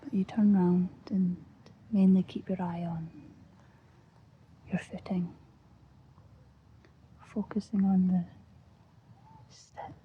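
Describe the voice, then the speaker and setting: A Scottish woman speaks softly and breathily, often in a whisper, gently and soothingly guiding an ASMR visualisation with long pauses. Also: on the low side, little pitch movement, breathy edge. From Scotland. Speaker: ASMR host. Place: outdoors, lying on grass. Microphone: close-up. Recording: muffled lo-fi outdoor recording, faint noise.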